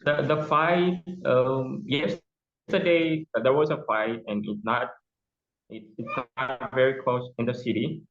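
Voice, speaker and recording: A young man with an Ethiopian accent, a judge speaking as a guest in a remote interview, often hesitating and repeating words as he searches for them. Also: medium pitch. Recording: tinny phone line with echo.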